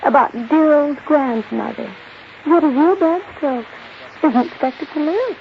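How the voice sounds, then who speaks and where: A woman's voice: high-pitched, measured pace, creaky. An actress playing a flippant, coy and callous young woman in a 1950s radio drama. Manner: coy, mocking. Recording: dull 1950s radio recording, faint noise.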